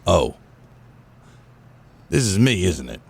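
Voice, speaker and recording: Deep-voiced gruff old crook played by a man. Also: very animated, slow, darkish tone, full character voice. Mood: wistful, fond. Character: Spike's estranged father, gruff older small-time crook, sentimental. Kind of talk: comedic noir radio play acting.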